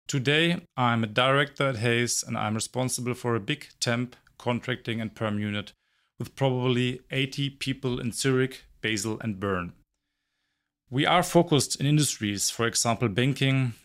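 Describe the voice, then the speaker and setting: Director, man, 40s; confident, brisk sales-style delivery. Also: medium pitch, a bit bright. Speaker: recruitment company director. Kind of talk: scripted self-introduction.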